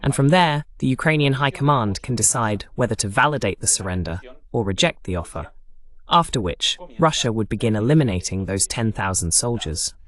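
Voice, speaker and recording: Man giving animated political commentary. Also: fairly low pitch, brisk. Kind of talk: political analysis monologue.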